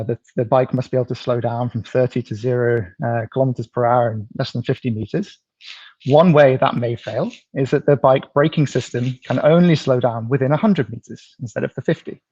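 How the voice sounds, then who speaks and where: A man from the UK, presenting an app in a live pitch, explaining steadily with some ums and restarts. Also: brisk, dark tone, husky, no echo, highs cut off. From United Kingdom.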